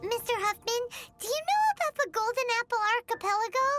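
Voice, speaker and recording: A woman voicing a tiny, chatty, bossy childlike companion in a video game, in a squeaky, expressive way. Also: quick pace, over music. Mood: businesslike, curious.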